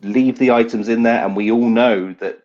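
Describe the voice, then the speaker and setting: A man's voice: mid-range voice, steady tone, moderate pace, a little mellow, close to an everyday voice. Man playing a pompous, persuasive would-be knight, muffled sound. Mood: insistent.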